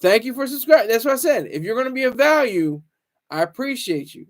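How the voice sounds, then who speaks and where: A man's voice: very high-pitched, very animated, fairly quick. A man hosting a casual livestream, rambling, teasing viewers and stumbling over restarts. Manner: stammers and restarts often. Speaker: livestream host.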